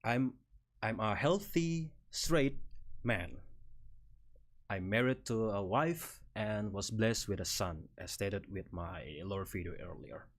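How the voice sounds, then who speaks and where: A man's voice: lowish voice, slow. A man in his thirties from Indonesia, speaking English with an Indonesian accent, chatting casually on a live stream as he presents slides about himself with a rambling, good-humored manner and frequent chuckles. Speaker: VTuber streamer.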